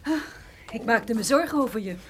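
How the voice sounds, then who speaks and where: A woman's voice: on the high side, expressive, normal speed, natural voice. An actress playing a devoted, protective woman lawyer in a radio drama. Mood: concerned, tender. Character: devoted, protective female lawyer, loyal to detective.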